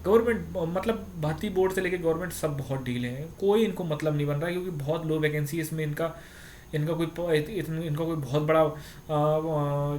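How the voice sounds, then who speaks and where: A man speaks in a slightly high voice. He explains things informally, like a presenter updating students, and often checks that they follow. There is faint ambient noise in the recording. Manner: conversational, repeats tag words to check understanding. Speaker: YouTube exam-update presenter.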